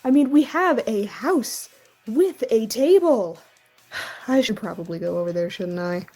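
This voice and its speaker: A woman plays a mischievous young spellcaster in a fantasy comedy audio drama, cocky and melodramatic. The voice is slightly high, with a mellow tone and a husky rasp. The delivery is teasing and theatrical. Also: animated, a little slow, faint music behind. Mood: mock-dramatic whining.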